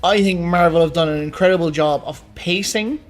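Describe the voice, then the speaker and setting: A man's voice: a little high, brisk, faint music behind. A man co-hosting a film discussion show, chatting casually with his fellow host. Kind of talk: casual banter about movies.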